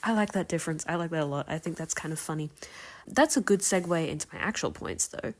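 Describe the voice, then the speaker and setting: A woman's voice: deep voice, fast, gravelly. A woman recording a listener submission, giving prepared commentary for a podcast.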